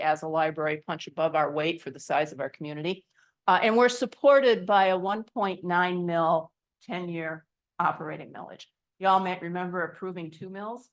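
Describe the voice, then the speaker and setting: A woman presenting a library board update at a township meeting, chatty with self-deprecating asides, speaking into a handheld microphone in a meeting room. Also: on the low side.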